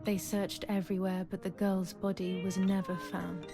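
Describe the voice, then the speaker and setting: A woman playing a troubled, brooding young woman in a wartime psychological game, narrating in a measured, dramatic, introspective way. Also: mid-range voice, flat delivery, music in the background, lightly acted. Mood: somber storytelling. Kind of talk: scripted first-person game narration.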